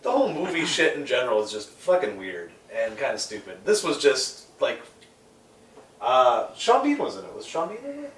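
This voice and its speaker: A man's voice: medium pitch, huge pitch swings, normal speed. A man co-hosting a casual panel discussion, giving blunt opinions. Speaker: podcast co-host. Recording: echoey room, distant mic, faint noise.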